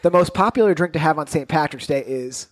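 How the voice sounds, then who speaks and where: A man's voice: on the high side, fairly quick. Joking man in his thirties hosting a quiz. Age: 30s. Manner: teasing, joking. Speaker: quiz host. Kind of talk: casual group chat.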